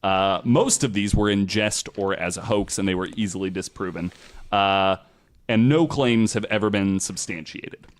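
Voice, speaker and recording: A man's voice: on the low side, normal speed. A man hosting a podcast, telling a researched story in a casual, profane and theatrically emphatic way.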